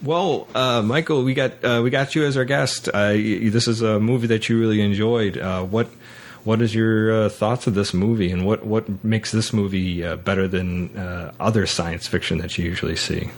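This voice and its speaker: A man hosting a podcast, casually discussing film and books in a halting way, often repeating words as he goes. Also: on the low side, fairly even intonation. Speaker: podcast host.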